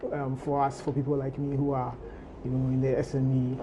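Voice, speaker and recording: A man with a Ghanaian accent giving halting, spontaneous interview answers as a business guest. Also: mid-range voice, completely flat delivery, mellow, slight background noise, rough recording. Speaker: fashion entrepreneur, studio guest.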